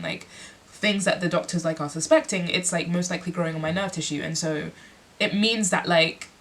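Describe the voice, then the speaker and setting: A woman's voice: mid-range voice, pushed and bright. Congested young woman, candid vlog. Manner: reflective, heavy use of "like" fillers. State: congested, sniffly. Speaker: musician and performer.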